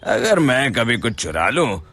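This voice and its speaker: A man's voice: medium pitch, sing-song, measured pace, sharp tone, heavily acted. A man voicing a greedy young shop worker in a cartoon who stammers when frightened. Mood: scheming. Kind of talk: cartoon voice acting.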